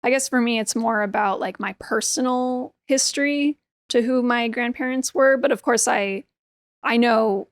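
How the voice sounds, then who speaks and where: A woman's voice: a little high, moderate pace, no echo. A woman whose first language is Hebrew, chatting casually as a podcast guest, with plenty of "like" and "um" and easy laughter. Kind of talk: casual conversational interview.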